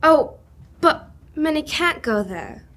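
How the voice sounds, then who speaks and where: A young woman playing a gentle, earnest fundraiser for the poor in a live radio drama, politely appealing. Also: sits high, big pitch swings, slow, heavily acted. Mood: hopeful appeal. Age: teenager or young adult. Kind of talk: live old-time radio drama reading.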